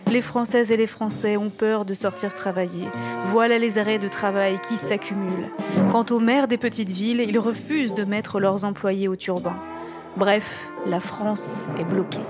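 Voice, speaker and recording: An actress narrating a satirical audio fiction in a wry, mock-dramatic, scripted way. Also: female voice, mid-range voice, natural voice.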